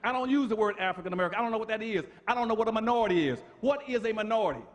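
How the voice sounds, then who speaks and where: A man's voice: high voice, animated, very fast. A man giving an impassioned public lecture, emphatic and preacher-like, firing off rhetorical questions.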